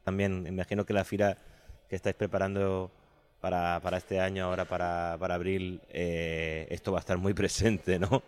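A man with an accent from Spain interviewing conversationally, haltingly searching for words and repeating himself. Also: low voice, level tone, a little mellow, smooth. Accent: Spanish from Spain. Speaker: radio interviewer.

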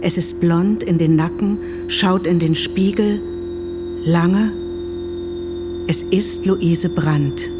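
An actress playing a detached, matter-of-fact narrator who reads screenplay-like directions in short phrases. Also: female voice, fairly low pitch, fairly even intonation, takes it slowly, pauses often, loud music behind the voice.

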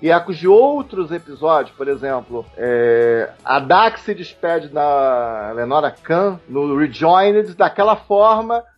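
A Brazilian man on a podcast, analysing a TV series casually and thinking aloud with halting, repeated words. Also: a little high, lively intonation. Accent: Brazilian Portuguese. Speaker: podcast panelist. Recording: dull lo-fi podcast audio with music.

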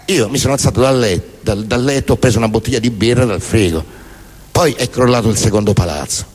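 A man performs theatrical spoken word as a stage monologue, in a deadpan, declamatory manner. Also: medium pitch, a little fast, breathy edge. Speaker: stage performer reciting poems and prose monologues.

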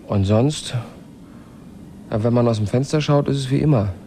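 An actor playing an awkward, shy space traveller in a radio play, speaking haltingly and dryly, often as if to himself. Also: male voice, mid-range voice, mellow, a little hiss or hum, lightly acted. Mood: musing. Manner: hesitant, halting, often breaking off and restarting. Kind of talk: naturalistic German radio play acting, partly as a spoken log.

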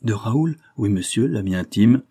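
A man's voice: fairly low pitch, expressive, moderate pace, warm tone, breathy edge. A man voicing a downcast, proud young male cashier in an audiobook, with an earnest, hesitant manner. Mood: piqued. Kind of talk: audiobook character dialogue.

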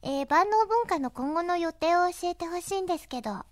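A woman's voice: very high-pitched, lively intonation, fairly quick, dry recording, studio quality. A woman hosting a chatty interview, speaking politely with lots of reactive interjections. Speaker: radio show host interviewing a guest. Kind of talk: chatty interview for an anime fan CD.

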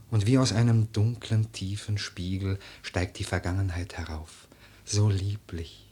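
A melancholy, lovelorn dreamer in a poetic radio play. The voice is low, slow and very husky, breathing out verse as if lost in memory. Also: male voice, a little mellow, heavily acted.

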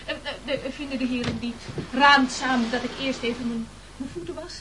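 A man's voice: very high voice, fairly even intonation, normal speed. A male actor playing a frantic, pleading castaway in a radio play, stammering and evasive. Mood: nervous, pleading. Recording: very noisy old radio recording.

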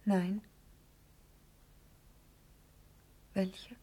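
An actress playing a tender, urgent young princess in classical verse radio drama, breathy and emotional. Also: female voice, mid-range voice, flat delivery, extremely slow, warm tone. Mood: fearful. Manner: emotional, often dropping to a whisper.

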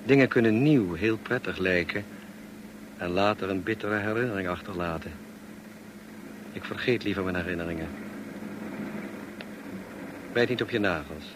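An actor playing a reserved, brooding, worldly gentleman in a radio drama, speaking in a measured, clipped, dry way. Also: low voice, close to an everyday voice. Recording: clear background noise, car ambience. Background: car ambience.

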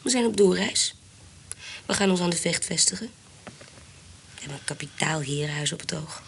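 A woman's voice: lowish voice, animated, normal speed, gravelly, a little hiss or hum. An actress playing a self-assured, worldly female swindler in a Dutch radio drama, cool, commanding and teasing. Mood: cool, demanding. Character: worldly, self-assured female adventuress, forger and card cheat.

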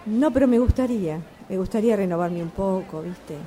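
A woman's voice: medium pitch, brisk, warm tone, natural voice. A woman playing an evasive, restless middle-aged mother in a radio drama, with a Rioplatense Argentine accent and a hesitant, repetitive way of talking that trails off. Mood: keen, casual. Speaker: actor in a radio drama workshop. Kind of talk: naturalistic improvised radio drama dialogue.

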